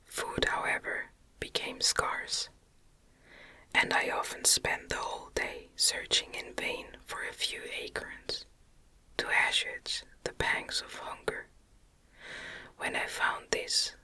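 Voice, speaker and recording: A woman reads literary narration entirely in an unvoiced, breathy whisper. The sound is very bright and sibilant. Her delivery is gentle and unhurried, with deliberate, softly articulated short phrases in an ASMR style. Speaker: audiobook narrator. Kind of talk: ASMR whispered novel reading.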